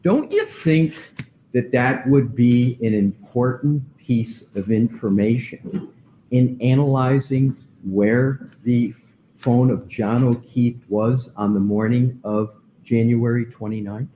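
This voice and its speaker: A male defense attorney cross-examining a witness in court, asking slow, pointed, deliberate questions. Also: mid-range voice, warm tone, slight background noise, low-quality recording.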